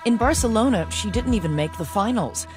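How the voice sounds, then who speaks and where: A woman narrates a news magazine story with dramatic, scripted delivery. Her mid-pitched voice sits over a clear music bed. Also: animated, brisk.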